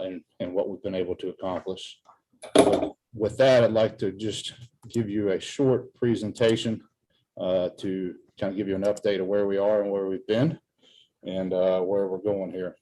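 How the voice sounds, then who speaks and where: A man's voice: on the low side, mellow, poor audio. A man presenting for a government wildlife agency in a formal, earnest spoken update.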